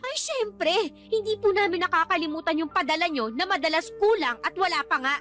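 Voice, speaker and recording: A woman's voice: very high voice, big pitch swings, moderate pace, fairly bright tone, soft background music, full character voice. A woman playing a resentful, sarcastic daughter talking back in a radio drama. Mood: sarcastic, defiant. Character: defiant, resentful breadwinner daughter arguing with her father.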